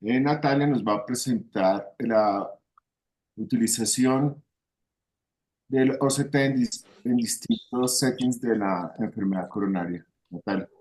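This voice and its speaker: A man's voice: mid-range voice, unhurried, darkish tone, low-quality recording. A man hosting a professional online session, politely and formally introducing the guest speaker. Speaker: host moderator.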